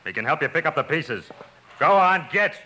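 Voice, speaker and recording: An actor playing a menacing, taunting young gunslinger with an American drawl in a 1950s radio western. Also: male voice, fairly high pitch, animated, moderate pace, pushed and bright, low-quality recording.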